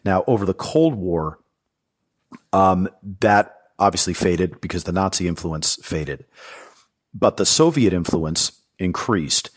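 A man with a very low, deep voice walks a podcast host through history. He talks in a thoughtful, explanatory way, pausing with fillers and restarting phrases. He sounds like a former soldier now speaking as an informed guest. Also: animated, breathy edge.

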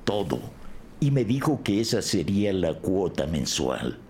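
An actor playing an indignant, shaken deli owner giving an emphatic account as a crime victim in an audio drama. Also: male voice, sits low, big pitch swings, a little slow, darkish tone, a little hiss or hum. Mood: agitated. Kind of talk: scripted audio drama dialogue.

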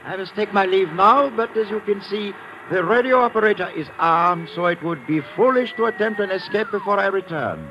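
An actor playing a suave, gloating guerrilla mastermind who speaks with formal, smug politeness in an old radio drama. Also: male voice, high voice, animated. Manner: formal, measured, smugly polite. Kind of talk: 1950s radio drama acting. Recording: muffled, noisy vintage radio recording.

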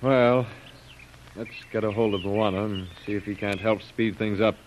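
An actor playing a worried, earnest aide on a jungle trek, speaking carefully and plainly in 1930s radio adventure style. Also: male voice, on the low side, fairly even intonation, normal speed, natural voice. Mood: anxious, urging. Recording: muffled, noisy old radio recording.

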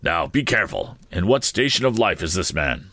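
A man's voice: low-pitched, big pitch swings, moderate pace, sharp tone, no echo, full character voice. A man voicing a stern, domineering middle-aged lawyer who speaks curtly. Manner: curt, severe.

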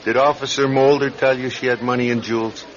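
An actor in an old radio crime drama playing a skeptical, hard-boiled police sergeant who talks in a brusque, sarcastic, interrogating way. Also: male voice, mid-range voice, flat delivery, brisk, natural voice. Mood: stern, probing. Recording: dull old radio recording.

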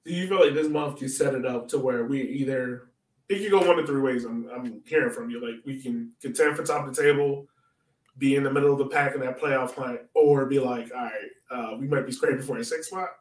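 A man's voice: mid-range voice, a little fast, far from the mic. A man co-hosting a casual sports podcast, speaking informally as he banters and puts questions to his partner. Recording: echoey room, off the mic.

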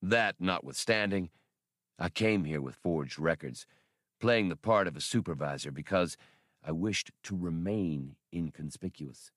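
Guy doing an old professor type for an audiobook, very deep, measured and formal, dry recording. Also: a bit bright, dry recording. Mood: calm, dignified. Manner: formal, precise, deliberate wording. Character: older scholarly man, measured, courteous, grave. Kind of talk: audiobook character dialogue.

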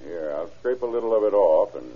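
A deep, slightly rough-edged American small-town lawman for a vintage radio drama. He is methodical and matter-of-fact, and the old recording is dull and muffled. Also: expressive, fairly quick. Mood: businesslike.

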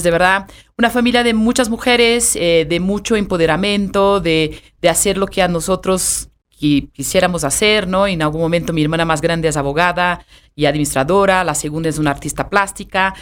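A woman in her forties from Brazil, speaking Spanish with a Brazilian Portuguese accent as a podcast guest, talking in a halting way with many fillers and restarts. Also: lowish voice, clear, resonant tone. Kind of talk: conversational podcast interview.

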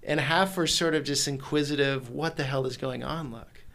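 Guy, gravelly voice, rambling travel stories, lots of restarts. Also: medium pitch, normal speed. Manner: animated storyteller, rambling with restarts. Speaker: guest, documentary maker. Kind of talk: casual storytelling conversation.

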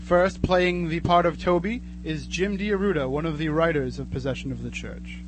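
An actor playing a sardonic, gleefully macabre narrator and announcer in old-time radio horror style, theatrical and wry. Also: male voice, fairly high pitch, normal speed, background noise, natural voice. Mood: mock-solemn.

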